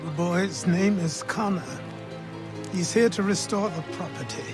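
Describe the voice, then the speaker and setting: An old mentor in a video game, weary and guarded. He speaks with dry, measured authority in a slightly raised register. Soft music sits behind him. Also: high voice. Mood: matter-of-fact. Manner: measured, unhurried, understated. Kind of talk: video game cutscene acting.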